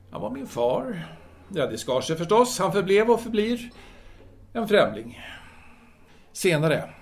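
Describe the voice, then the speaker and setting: An actor playing a candid, self-assured male French intellectual in an audio drama, speaking formally and deliberately with hesitant pauses. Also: high-pitched, gravelly, full character voice. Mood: casual, explanatory.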